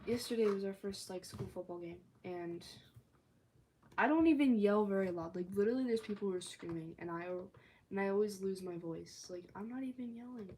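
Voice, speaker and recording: Teen girl rambling on a phone livestream, medium voice, says like a lot, faint hiss behind. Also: medium pitch. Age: teens. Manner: rambling, chatty, filler-heavy talk with frequent "like". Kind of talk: casual livestream chat. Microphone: phone or tablet.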